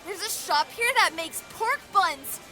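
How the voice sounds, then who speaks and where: Casting: sassy, chirpy young girl, very high-pitched, animated, audio drama. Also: a little slow, over music. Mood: eager, friendly. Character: fast-moving, cheeky, food-loving young girl.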